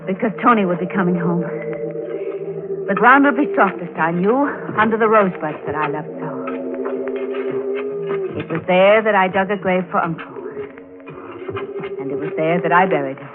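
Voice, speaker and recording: An actress playing a genteel, secretly murderous niece with a dramatic, suspenseful delivery, in the style of 1940s radio melodrama. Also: female voice, lowish voice, moderate pace, pauses often, phone-quality sound, lightly acted. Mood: eerie, calm. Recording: band-limited vintage radio recording, music behind.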